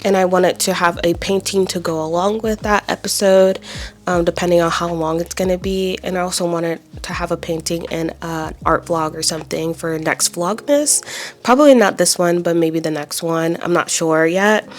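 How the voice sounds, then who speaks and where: A young woman, a pottery and watercolor artist, chatting casually in her vlog with a raspy voice from not talking all day, rambling with lots of "like" and "um.". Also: lowish voice, steady tone, normal speed. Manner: rambling, with frequent "like" and "um".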